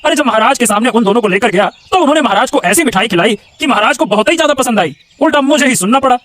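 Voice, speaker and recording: अभिनेता की आवाज़ में तेज़ बोलता, कुढ़ा हुआ दरबारी आदमी. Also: male voice, extremely high pitch, animated, rapid-fire, fairly bright tone. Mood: aggrieved. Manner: agitated, grumbling. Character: resentful, complaining palace gentleman plotting revenge. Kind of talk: Hindi animated moral story voice acting.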